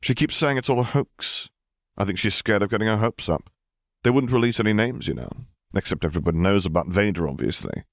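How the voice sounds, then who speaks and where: A man's voice: on the low side, brisk, dry recording, clean studio sound, close to an everyday voice. A man voicing a gangly, jocular younger academic in an audiobook, chattering in an effusive, slightly flustered way. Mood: chatty.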